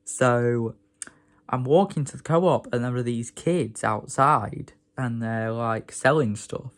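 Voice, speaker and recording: A young man from England, hoarse from a sore throat, rambling and grumbling in a casual vlog rant. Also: mid-range voice, a bit bright. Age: young adult. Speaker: vlogger.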